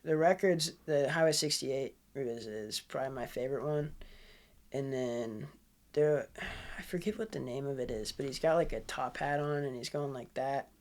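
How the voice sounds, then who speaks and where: A man's voice: medium pitch, normal speed, a little mellow. A young man with a nasal voice, a guest musician in casual podcast chat, rambling with lots of fillers.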